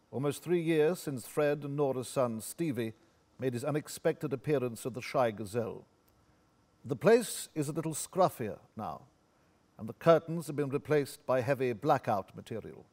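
A man's voice: medium pitch, normal speed, a little mellow. A man reading scene-setting narration from a script at a staged play reading. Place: theatre stage.

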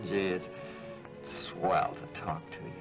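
An actor playing a nervous, homesick young American soldier in a 1940s film, halting and gentle, with an American accent. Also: male voice, sits low, little pitch movement, low-quality recording. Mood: tender, reassuring. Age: young adult. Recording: old film soundtrack with music.

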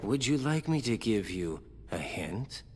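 A man voicing a smug, mocking young villain in a video game cutscene, with teasing, theatrical, drawn-out phrasing. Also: lowish voice, very animated, slight background noise. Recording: loud music under the voice.

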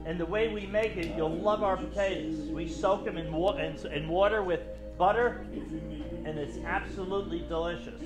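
A man's voice: sits high, steady tone, normal speed, over loud music, low-quality recording. An older man, a restaurant owner, casually and proudly promoting his place on camera, often repeating his phrases. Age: 60s or older. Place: diner back dining room.

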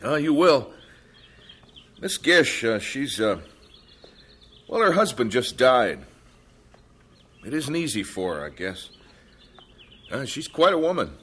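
A man's voice: medium pitch, big pitch swings, slow, pauses often, fairly bright tone, slightly rough, full character voice. An actor playing a chatty male camp registrar who hesitates and rambles with many "uh"s. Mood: rambling. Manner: hesitant, rambling, full of uh's.

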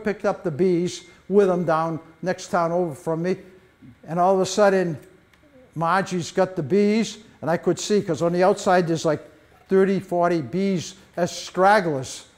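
An older man with a high voice leads a library talk on beekeeping, heard from a camera out in the room. He tells stories with lively energy, jumping up in pitch as he acts out what people said. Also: a little slow. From United States. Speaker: beekeeping presenter. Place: library meeting room, mid-sized, indoors.

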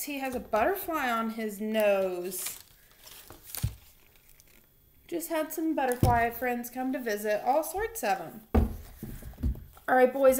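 Higher-pitched young woman reading storytime. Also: darkish tone, a little hiss or hum. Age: young adult. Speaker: storytime reader for young children. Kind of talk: read-aloud children's storytime. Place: small home room, indoors.

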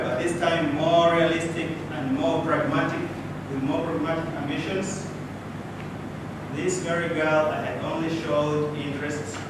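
Swahili-speaking man, echoey distant room. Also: fairly high pitch. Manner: storytelling with dramatic pauses and rhetorical questions. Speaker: club speaker. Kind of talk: prepared public speech. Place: small indoor meeting room with audience. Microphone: far camera, no mic. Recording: echoey room from afar, with background noise.

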